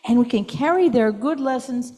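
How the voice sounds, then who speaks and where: A cheerful puppet, acted out by a woman speaks to children in a gentle, encouraging way. The voice sits high and moves up and down with lively exclamations, as in a kids' puppet show. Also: moderate pace, close to an everyday voice. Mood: cheerful, warm.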